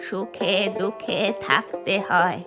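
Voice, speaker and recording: An elderly village grandmother as a woman acts her out speaks plainly and earnestly in a children's cartoon. She sounds rustic and repentant, with music clearly playing behind her. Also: lowish voice, expressive, slow pace. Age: older. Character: elderly village grandmother, once stingy, now repentant and generous. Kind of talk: children's cartoon character acting.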